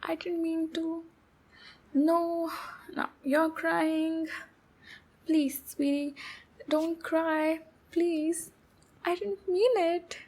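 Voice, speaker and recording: A woman's voice: very high voice, little pitch movement, darkish tone, exaggerated voice. A woman voicing a shy, anxious, clingy demon captor in a roleplay ASMR, speaking in a hesitant, pleading and soothing way. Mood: apologetic, flustered. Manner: hesitant, halting, pleading, soothing with pet names. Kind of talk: roleplay ASMR, intimate acted monologue.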